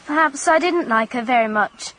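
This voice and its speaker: A woman's voice: sits high, expressive, moderate pace, a bit bright, a little hiss or hum. An actress playing a nervous, defensive teenage girl with an English accent in a radio drama. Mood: sullen, distressed.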